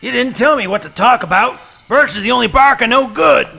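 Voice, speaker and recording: A man playing a blustering, boastful alligator in a live comic radio play, with a gravelly voice and folksy Southern swamp dialect. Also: very high-pitched, brisk, pushed and bright, full character voice. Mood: playful, pleading. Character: blustering, boastful adult male cartoon alligator playing sheriff.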